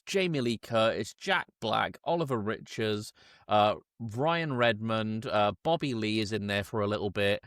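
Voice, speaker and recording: A man's voice: lowish voice, fairly bright tone, no echo, clean studio sound. A man hosting a podcast, chatting casually as he reviews films. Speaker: podcast host. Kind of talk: casual film review chat.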